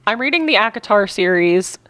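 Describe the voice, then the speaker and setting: A woman co-hosting a podcast, joking and swearing casually in relaxed chat. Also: mid-range voice, brisk, dry recording. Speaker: podcast co-host.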